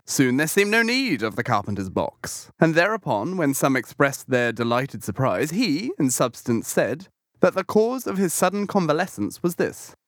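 A man's voice: medium pitch, fairly bright tone, no room sound, studio quality. A man narrating a literary audiobook in a dramatic storytelling style.

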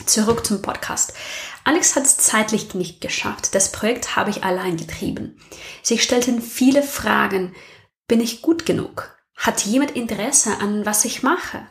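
A young woman who speaks German as a non-native, hosting her podcast in an earnest, reflective monologue and putting questions to her listeners. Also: a little high. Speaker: podcast host.

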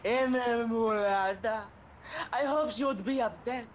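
A woman's voice: on the high side, measured pace, noisy background, natural voice. A young woman acting a melodramatic young heroine in a student comedy radio play, sprinkling in Spanish words. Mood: flustered. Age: young adult. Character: young woman torn between duty and an old love.

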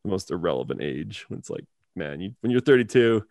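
A man's voice: deep voice, big pitch swings, fairly quick, darkish tone, dry recording. A man in his thirties hosting a casual podcast, rambling with lots of fillers and easy laughs. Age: 30s. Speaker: podcast host.

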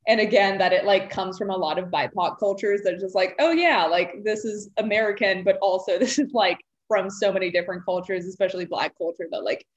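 A woman's voice: mid-range voice, fairly quick, hardly any pauses, muffled recording. Upbeat woman guest from Texas. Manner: upbeat, enthusiastic, laughs often. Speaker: podcast guest, personal trainer. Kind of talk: casual interview chat.

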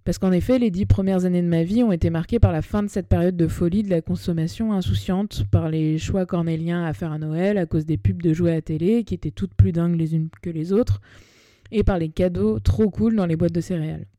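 A woman's voice: lowish voice, fairly even intonation, brisk, warm tone, no room sound. A woman of about thirty with a Parisian French accent, hosting a personal podcast in a chatty, self-deprecating, colloquial way, close on the microphone. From Paris, France. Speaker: podcast host. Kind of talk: personal monologue podcast, semi-scripted narration.

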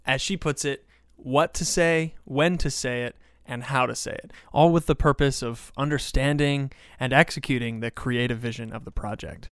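A man's voice: mid-range voice, steady tone, moderate pace, clean tone, no room sound, clean studio sound. A man hosting an interview podcast, reading introductions and putting questions to a guest. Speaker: podcast host.

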